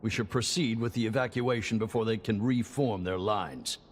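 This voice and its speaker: An actor playing a gracious, plain-spoken fort general in a video game, formal and declamatory in delivery. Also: male voice, on the low side, moderate pace, a little hiss or hum, close to an everyday voice. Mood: brisk, approving. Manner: formal, old-fashioned, declamatory. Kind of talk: dramatic video game cutscene acting. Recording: loud music under the voice.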